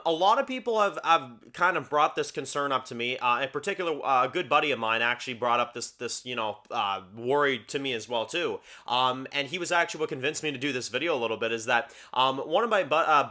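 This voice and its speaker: A young Canadian man who grew up speaking French talks to his viewers in a loose, rambling way, leaning on "you know" and "um" again and again. His voice sits at a middle pitch and sounds bright and forward. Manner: rambling, heavy on fillers like "you know what I mean". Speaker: YouTube TV reviewer. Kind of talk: casual talking-head commentary.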